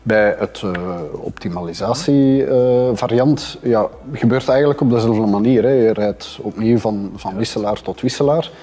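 A man's voice: medium pitch, moderate pace, hardly any pauses, dark tone. A man in his forties with a Flemish accent, explaining things as an expert in conversation. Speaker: expert panelist. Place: indoor café-style room, at a table.

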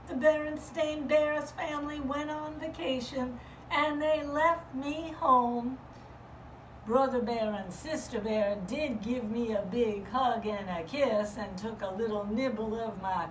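A woman voicing a lonely young cow toy who talks slowly and plaintively and moos between lines. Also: high-pitched, fairly bright tone. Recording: roomy, from far off, faint hum.

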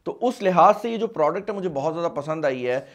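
A man's voice: a little high, animated, quick pace. A man in his twenties with a Pakistani accent, hosting a casual product review and mixing in English words. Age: 20s. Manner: mixes English words and phrases into speech. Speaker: tech review host. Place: small studio at a desk, indoors.

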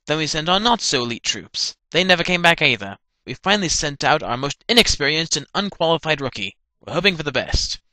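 A man's voice: fairly high pitch, very animated, brisk, few pauses, sharp tone, no echo, heavily acted, low-quality recording. A man voicing a hapless, nervous military subordinate giving a briefing in a parody cartoon. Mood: earnest, nervous. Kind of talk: fan-dub parody cartoon acting.

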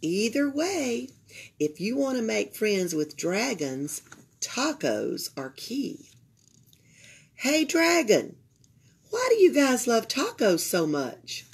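Slow, gravelly Southern US woman reading aloud. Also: a little high. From Alabama, United States. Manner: expressive, pausing to comment to young listeners. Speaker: library storyteller. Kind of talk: children's picture book read-aloud. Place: indoors at a library table.

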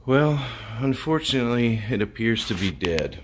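A man's voice: medium pitch, lively intonation, normal speed. An American man giving casual, folksy vlog commentary as the host of his own video. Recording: clear background noise.

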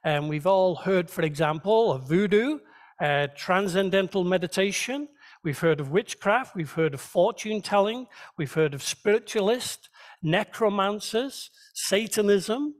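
Guy preacher w a pretty high voice, doing a sermon in a chatty teaching way. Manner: conversational teaching with rhetorical check questions. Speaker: pastor. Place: church, speaking to a congregation.

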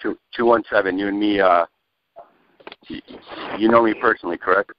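A man's voice: fairly low pitch, fairly even intonation, fairly quick, a little hiss or hum. A man moderating a group phone call, giving blunt, informal directions to callers. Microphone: telephone. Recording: dull phone line, crowded conference call.

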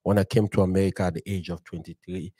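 A man in his forties, originally from Cameroon, speaking as a podcast guest in an animated, motivational style with frequent "right?" check-ins, recorded close on a studio mic. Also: sits low, fast, no room sound. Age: 40s. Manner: animated, preacher-like build-ups, frequent "right?". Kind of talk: energetic motivational storytelling. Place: bookshelf-lined podcast studio. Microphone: studio mic on boom arm.

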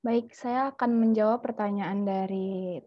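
A young woman answers a question on a video call, soft and a little hesitant. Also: medium pitch, fairly even intonation, a little slow. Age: young adult. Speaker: student presenter. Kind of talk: casual explaining on a video call. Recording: dull lo-fi call audio with faint music.

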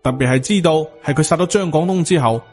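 A man's voice: a little high, quick pace, a little mellow, soft background music. A man with a Hong Kong Cantonese accent, narrating a scripted supernatural story as an audiobook reader.

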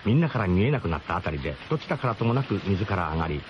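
Animated male radio drama narrator. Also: low-pitched, rapid-fire, muffled recording, a little hiss or hum. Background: music.

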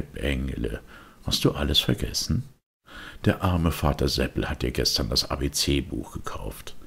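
Male audiobook narrator, low pitch, gravelly, children's storytelling.

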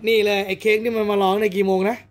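A man's voice: high-pitched, level tone, racing, a loud crowd. A man hosting from his card shop, teasing the players in casual banter. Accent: Thai. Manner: teasing, coarse joking. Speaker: shop owner and channel host. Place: comic and card shop, indoors. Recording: noisy shop background.

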